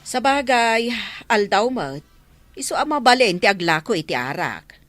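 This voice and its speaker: A woman's voice: on the high side, very animated, moderate pace, a bit bright, dry recording, soft background music. A woman playing a chatty, gossipy village storekeeper with an Ilocano accent in a radio comedy drama. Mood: curious, teasing.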